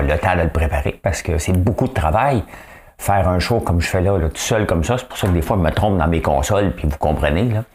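A man's voice: deep voice, a little mellow. A man with a Quebec French accent hosting his own show alone, chatting casually with joking asides and frequent tag questions. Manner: chatty, asks "hein?" often, joking asides. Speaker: solo online show host. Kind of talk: casual solo commentary show.

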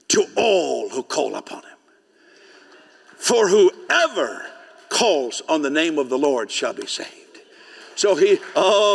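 A man preaching in English, his second language, with a voice that sits somewhat high. He swings between loud, rising declarations and quiet, conversational asides, often repeating words as he gets going. A long reverb from a large hall trails every phrase. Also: vocal fry. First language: not English. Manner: preaching with sudden loud rises and soft, chatty asides. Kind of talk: sermon.

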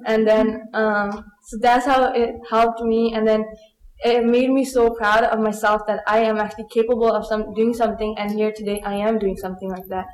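In a reverberant room, a teenage girl from Pakistan gives a personal account to listeners. Her voice is on the high side with very little pitch movement. Punjabi is her native language. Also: little pitch movement, moderate pace. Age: teens. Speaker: student speaker at a conference. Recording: echoey room, played-back conference recording.

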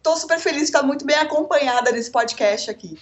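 A Brazilian-raised woman, a comics author, chatting as a podcast guest in a lively way. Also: high voice, quick pace, lo-fi sound. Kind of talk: casual podcast chat.

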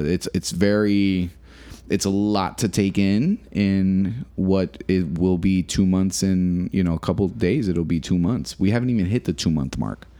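A man co-hosting a casual podcast, talking earnestly and haltingly with many restarts. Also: low voice, warm tone. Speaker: podcast co-host. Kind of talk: casual chat.

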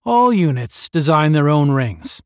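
A man voicing a seasoned male space officer who speaks forcefully and with pride to young cadets. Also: fairly high pitch, sing-song, unhurried, clear, resonant tone, no echo, clean studio sound, voice pushed up. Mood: earnest, proud. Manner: forceful, proud, instructive.

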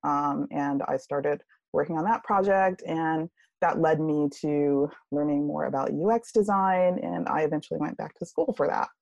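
A woman guest on a podcast interview talking about her career in a relaxed way, with many fillers. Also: low-pitched, normal speed, gravelly, no room sound, lo-fi sound. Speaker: guest, UX writer. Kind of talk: casual interview.

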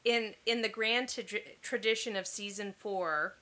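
A woman's voice: mid-range voice, fairly even intonation, measured pace, bright, forceful tone. A woman hosting a chat podcast, chatty and teasing, often restarting her sentences. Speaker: podcast host.

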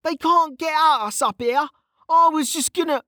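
A narrator voicing a hot-headed young male fire mage in a fantasy audiobook, indignant and protesting, pitched far up. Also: measured pace, sharp tone, dry recording, clean studio sound. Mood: defensive.

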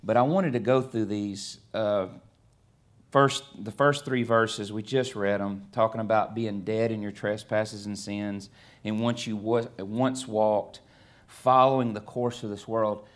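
A middle-aged man from Alabama with a Southern US accent, preaching a sermon in a conversational, halting way with many fillers. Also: on the low side, fairly even intonation. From Alabama, USA. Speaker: guest preacher. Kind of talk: sermon with scripture reading.

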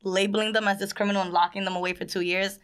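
A woman's voice: mid-range voice, flat delivery, rapid-fire, fairly bright tone, smooth. A young woman with a Brooklyn accent talking candidly as a guest, full of "you know" and "like". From Brooklyn, New York. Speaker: guest, teacher working with the courts. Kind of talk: casual podcast conversation.

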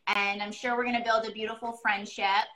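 A woman's voice: on the high side, level tone, fairly quick, sharp tone, poor audio. A woman hosting a podcast interview, talking in an effusive, rambling, casual way. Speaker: podcast host.

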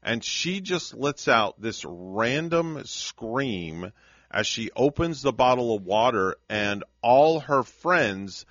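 A man's voice: mid-range voice, animated, slow, a bit bright, no echo, lo-fi sound. An older man from New York co-hosting a morning radio show, joking and chatting in a playful, casual way. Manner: jokey, playful, slips into comic impressions.

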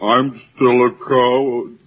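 An actor playing a timid, cowardly talking lion, hesitant and bashful, in an old radio drama. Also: male voice, mid-range voice, little pitch movement, slow pace. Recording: tinny old radio recording.

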